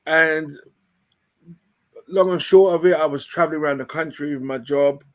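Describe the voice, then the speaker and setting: Hoarse British man, guest founder, slightly high voice, rambling and full of ums. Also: moderate pace. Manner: rambling storyteller, many fillers and restarts. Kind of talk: casual chat on a video call show.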